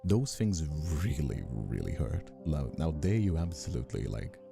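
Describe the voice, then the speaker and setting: Man in his twenties, mature gravelly low voice, expressive swings, casual stream chat, music underneath. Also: normal speed. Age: 20s. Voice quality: mature-sounding, older than his years. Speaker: ASMR streamer and voice actor.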